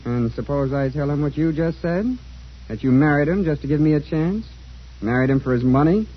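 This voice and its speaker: A man's voice: fairly high pitch, fairly quick, lightly acted. A man playing a lazy, sly young adult son in an old-time radio drama, speaking in a glib, needling way. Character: lazy, sly, self-satisfied grown son. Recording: dull old radio recording.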